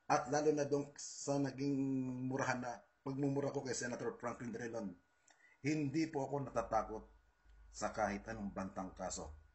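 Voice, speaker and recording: A man with a Filipino accent, giving an informal, combative monologue to online followers, stressing and repeating his points. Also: mid-range voice. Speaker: political video host.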